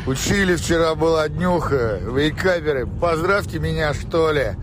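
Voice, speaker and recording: Very unhurried man in his forties. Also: on the high side, noisy background. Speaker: listener leaving a voice message. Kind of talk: casual greeting in a voice message.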